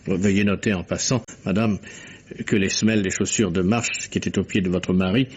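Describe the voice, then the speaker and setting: An actor playing a courteous, analytical gentleman detective in a vintage French radio mystery, with precise, theatrical delivery. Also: low-pitched, fairly even intonation, fairly quick, breathy edge. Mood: modest, explanatory. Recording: muffled old radio drama recording.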